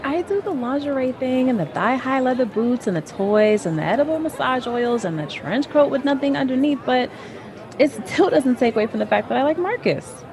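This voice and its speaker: A woman's voice: high-pitched, expressive, fairly quick, crowd noise. A woman acting in an audio drama as a brash, restless, unapologetic wife with a sassy, casual manner. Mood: playful, frank. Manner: sassy, casual girlfriend banter. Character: brash, restless married woman, unapologetic about her affair.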